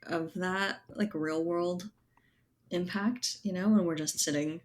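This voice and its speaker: Woman w/ a really low voice, casual thoughtful interview answers, bit of background noise. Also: slow pace. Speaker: guest, writer and editor.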